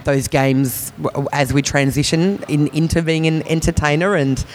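Halting man comedian chatting. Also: a little high, steady tone, a little hiss or hum. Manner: halting, many restarts and fillers, laughs often. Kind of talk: podcast conversation.

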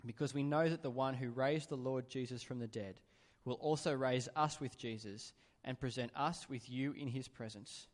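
A man reading a Bible passage aloud in a church, steady and even-paced. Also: mid-range voice, moderate pace, hardly any pauses. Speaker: scripture reader. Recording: long hall reverb in church.

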